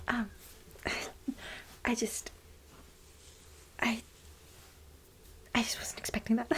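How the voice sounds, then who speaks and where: Woman acting a whispering, fawning office employee. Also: a little high. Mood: grateful, flustered. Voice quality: breathy, whispery, soft. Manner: hesitant, halting, nervous giggles, ingratiating. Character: fawning, gossipy female office employee sweet on her boss. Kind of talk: soft-spoken ASMR role play.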